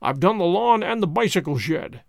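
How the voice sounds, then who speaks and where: A keen, methodical detective, as a male reader plays him reasons aloud step by step in a measured, deliberate way. His voice sits high and rises and falls with animation. The recording is dry and close. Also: lively intonation, creaky, dry recording. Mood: brisk.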